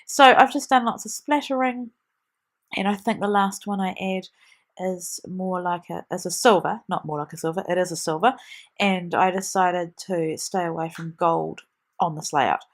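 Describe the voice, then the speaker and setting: A woman's voice: on the low side, normal speed. A woman with a stuffy nose from a cold, chatting through a scrapbooking tutorial with frequent ums. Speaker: scrapbooking demonstrator. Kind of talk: voice-over craft tutorial.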